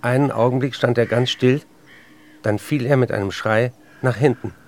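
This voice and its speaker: A man playing a tormented, intense writer who confesses and narrates in measured, intimate speech in a radio play. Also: medium pitch, a little mellow, close to an everyday voice. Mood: haunted recollection.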